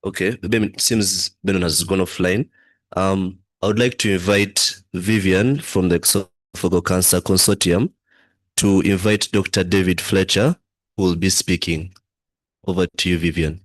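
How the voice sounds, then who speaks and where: A man moderating an online event, calmly handing over between speakers. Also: low-pitched, normal speed, dry recording.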